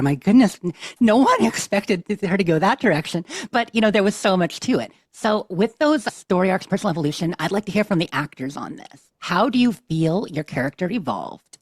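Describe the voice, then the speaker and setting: A woman's voice: on the low side, husky, dry recording. A woman casually moderating a panel, chatty and organized as she puts questions to the group.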